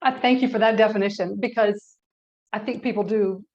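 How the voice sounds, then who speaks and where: A woman hosts an interview at medium pitch. She asks her questions in a friendly, engaged way and laughs easily. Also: fairly even intonation, a little fast, a little mellow, highs cut off. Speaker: interview host.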